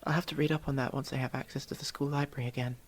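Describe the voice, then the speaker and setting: Someone acting a husky, curious young elf. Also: medium pitch, little pitch movement, rapid-fire, natural voice. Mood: explaining, composed. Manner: inquisitive, slightly formal, hesitant stammers. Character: curious, proper young elf mage student from another world. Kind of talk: ASMR roleplay, one-sided dialogue with the listener.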